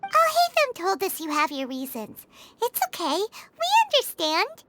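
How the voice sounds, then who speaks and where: Game fairy companion: squeaky child voice, very animated, earnest and eager. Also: female voice, unhurried, very noisy, exaggerated voice. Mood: earnest, reassuring. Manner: perky, earnest, speaks as "we". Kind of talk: video game voice acting. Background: music.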